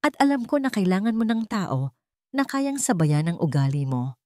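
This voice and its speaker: A woman's voice: mid-range voice, very animated, normal speed, darkish tone, no room sound, clean studio sound, close to an everyday voice. A woman voicing a proud, desperate young mother in a radio-style story, speaking with firm emphasis. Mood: defiant.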